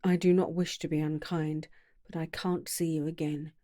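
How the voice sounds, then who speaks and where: A woman reading the part of a cold, bitter young gentleman in an audiobook. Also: low-pitched, level tone, normal speed, no room sound, studio quality, natural voice. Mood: scornful. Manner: bitter, scornful.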